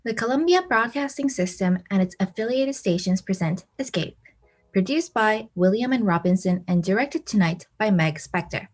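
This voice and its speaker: Formal, polished network announcer, played by a woman, mid-pitched, old-time radio drama style. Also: animated, moderate pace, close to an everyday voice. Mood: formal, promotional.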